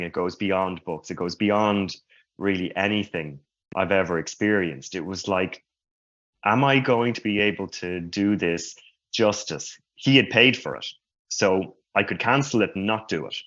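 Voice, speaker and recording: A man who stutters giving a slow, reflective personal talk at a conference. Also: low-pitched, fairly bright tone. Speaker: conference speaker. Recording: muffled lo-fi sound.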